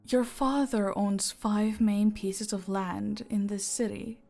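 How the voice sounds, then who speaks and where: A woman playing a calm, dominant female mafia boss in an audio roleplay, teasing and deliberate, often slipping into a breathy whisper. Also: medium pitch. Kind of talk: roleplay audio acting, intimate and slow. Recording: music behind the voice.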